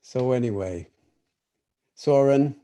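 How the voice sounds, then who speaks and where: An older man with a warm, dark voice teaches meditation in a calm, reflective way. He speaks over a video call during a livestreamed question session. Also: mid-range voice, slow.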